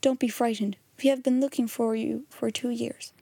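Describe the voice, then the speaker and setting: A woman voicing a calm, reassuring gentleman in a storybook, speaking gently. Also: fairly high pitch, vocal fry, slightly rough, dry recording. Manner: quick, gentle. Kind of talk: storybook character reading.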